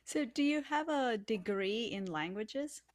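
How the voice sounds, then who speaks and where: A woman's voice: a little high, lively intonation, a little slow. A woman from Romania hosts an interview, asking questions and sharing her own story in a conversational way. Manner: conversational, asks leading questions. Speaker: podcast host.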